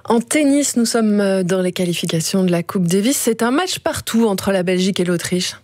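Animatrice radio: nette, studio mat, hauteur moyenne. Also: female voice, medium pitch, lively intonation, normal speed, darkish tone, dry recording. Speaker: news anchor. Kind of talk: radio news links.

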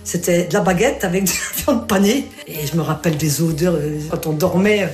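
An older woman, talking in a chatty, animated way with plenty of hesitations. Music sits clearly under her voice throughout. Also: fairly low pitch, brisk. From Belgium. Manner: chatty, animated, many fillers. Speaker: interviewee. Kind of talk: casual storytelling interview.

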